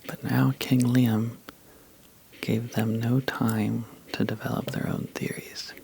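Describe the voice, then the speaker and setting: A man telling a sleepy ASMR bedtime story in a soft, breathy half-whisper, halting and drowsy, with long pauses. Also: mid-range voice, little pitch movement, poor audio.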